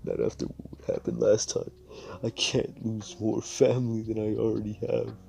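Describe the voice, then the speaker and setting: A man's voice: on the low side, moderate pace, a little mellow, faint music behind, close to an everyday voice. A man voicing a desperate, grieving teenage boy who pleads and repeats himself, close to tears. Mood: sobbing, begging.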